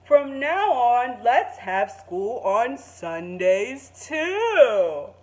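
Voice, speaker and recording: A woman voicing a proud, overenthusiastic male school principal in a children's storybook, proclaiming with drawn-out emphasis. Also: on the high side, very animated, heavily acted. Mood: grandly enthusiastic. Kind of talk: children's storybook read aloud.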